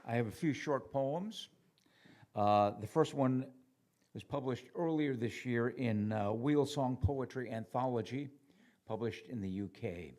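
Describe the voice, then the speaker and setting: Man introducing his poems in halting phrases. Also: medium pitch, a little mellow. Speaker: poet introducing own work. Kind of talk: informal spoken introduction at a poetry reading.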